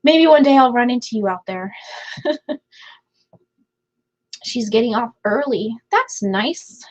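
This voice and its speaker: A woman's voice: on the high side, animated, slow pace, darkish tone. Chatty woman streaming from home, voice scratchy and half gone, a bit lo-fi. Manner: chatty, laughs often, many fillers. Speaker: livestream host. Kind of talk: casual chat reading viewer comments.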